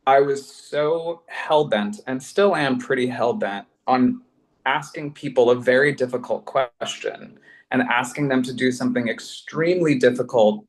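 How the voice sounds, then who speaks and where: A man's voice: medium pitch, low-quality recording. A man speaking conversationally in a panel discussion as a podcast producer, effusive and emphatic, thinking aloud in casual slang.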